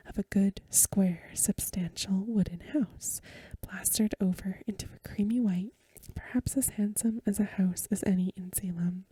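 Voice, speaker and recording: A woman reading a story aloud in a soft, breathy, mostly whispered ASMR style, gentle and unhurried, close to the microphone. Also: medium pitch, dry recording. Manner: gentle, unhurried, literary narration.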